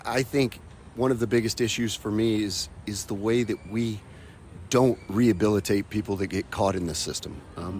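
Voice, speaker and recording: A man speaking as a top law enforcement official, giving a measured, official interview answer. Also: on the low side, a little hiss or hum. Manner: measured, official, slight restarts.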